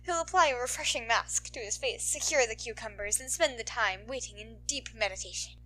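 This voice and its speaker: Woman, amateur audiobook-style reader, higher-pitched and very bright, expressive storytelling. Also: fairly quick, a little hiss or hum. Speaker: amateur fan fiction reader. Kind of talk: expressive story narration with casual swearing.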